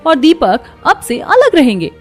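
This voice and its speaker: A woman voicing a proud, scornful young wife in a cartoon story, talking sharply in a high voice. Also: wildly swooping pitch, measured pace, faint music behind, full character voice. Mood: haughty. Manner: sharp, haughty, raised voice.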